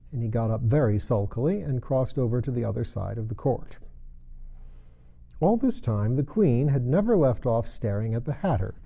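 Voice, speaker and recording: A man reading a children's story aloud as an expressive narrator, on a dull recording. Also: medium pitch, gravelly. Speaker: audiobook reader.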